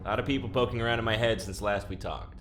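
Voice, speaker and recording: A man's voice: fairly low pitch, fast talker, a bit bright, lightly acted. A man playing a casual, awkward escape leader in an audio drama, speaking with stammering, conversational hesitancy. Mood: probing, wry. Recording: echoing room, music behind.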